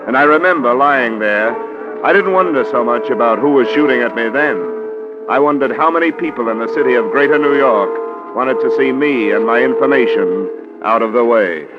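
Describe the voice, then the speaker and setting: An American actor playing a hard-boiled, world-weary insurance investigator in an old radio drama, with terse, dry, pointed delivery. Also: male voice, medium pitch, normal speed, natural voice. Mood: tense narration. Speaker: lead actor and narrator. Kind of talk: 1940s-50s radio detective acting. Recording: dull vintage radio recording with music.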